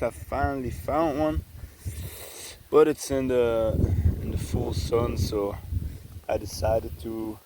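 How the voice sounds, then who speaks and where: Slow-talking man. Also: medium pitch, noisy background. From Belgium. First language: Dutch. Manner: chatty and unhurried, with many fillers and restarts. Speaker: vlogger. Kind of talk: casual travel vlog. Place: outdoors on a rural hiking trail. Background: faint outdoor ambience.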